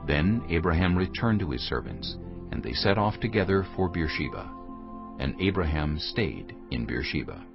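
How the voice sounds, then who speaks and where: A man narrating a dramatized Bible as a scripted story, solemn and clearly phrased. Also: sits low, very animated, normal speed, muffled recording, over music.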